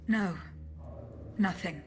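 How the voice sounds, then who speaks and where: A woman voicing a composed, resolute female automaton bodyguard in a video game, measured and formal. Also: medium pitch, slight background noise. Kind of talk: dramatic video game acting. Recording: music behind the voice.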